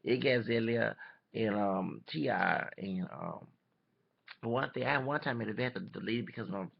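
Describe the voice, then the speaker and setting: Very creaky-voiced man, rambling. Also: on the low side. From Texas, United States. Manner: rambling, halting, repeats and self-corrects. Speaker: vlogger. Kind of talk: casual opinion commentary to webcam. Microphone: webcam microphone. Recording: dull lo-fi webcam audio.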